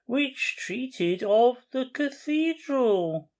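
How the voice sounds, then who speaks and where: Reader doing a tireless church guide guy, super slow, showy, reciting stuff for an audiobook. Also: mid-range voice, lively intonation, darkish tone. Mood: insistent. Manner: slow, declamatory recital of dates and facts. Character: beadle, tireless pedantic cathedral guide, male. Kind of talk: dramatic audiobook reading.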